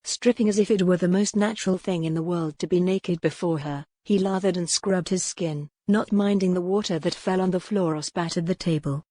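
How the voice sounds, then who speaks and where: A woman's voice: lowish voice, brisk, hardly any pauses, warm tone, no room sound. A woman reading a historical romance novel as scripted audiobook narration.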